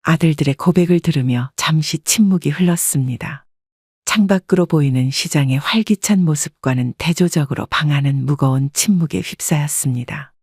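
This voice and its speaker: A woman narrating a scripted first-person story in a calm, reflective way. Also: sits low, normal speed, husky, dry recording, clean studio sound.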